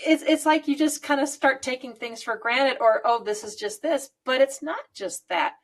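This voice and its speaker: A woman's voice: high voice, moderate pace. Rambling American woman in her fifties. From United States. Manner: rambling, laughs often. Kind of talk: casual chat.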